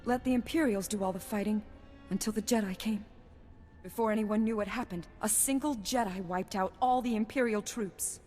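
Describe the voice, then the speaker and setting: An actress playing a frightened young research worker and anxious survivor in a video game, hesitant and emotional. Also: female voice, mid-range voice, moderate pace, warm tone, long reverb tail, soft background music, lightly acted. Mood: shaken. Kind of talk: video game cutscene acting.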